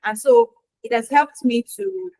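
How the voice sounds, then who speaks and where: A woman, a Yoruba speaker, answering interview questions in a lively, chatty way with acted-out quotes. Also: fairly high pitch, unhurried, no echo. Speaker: guest expert in quality assurance.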